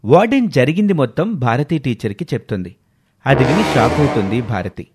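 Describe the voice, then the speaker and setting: Guy narrating a scripted story, average pitch. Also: animated, brisk, noisy background.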